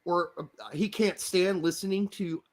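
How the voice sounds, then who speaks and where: A man's voice: high-pitched, fairly even intonation, a little slow. A man in his fifties with an American accent, raised in Germany, co-hosting a casual chat and ranting with heavy profanity. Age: 50s. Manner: profane ranting, loud outbursts. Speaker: podcast co-host.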